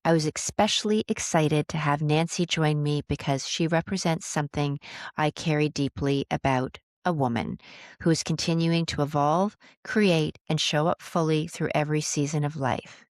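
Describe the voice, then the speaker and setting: A woman's voice: low voice, steady tone, normal speed, dry recording, clean studio sound. A woman of about sixty hosting an interview podcast, moving between a prepared introduction and conversation with a guest. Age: around 60.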